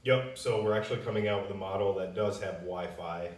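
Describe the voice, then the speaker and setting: Company rep, male, American, low register, plain-spoken casual explainer, echoey distant pickup. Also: steady tone, a little fast. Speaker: company representative answering customer questions. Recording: roomy echo, distant microphone.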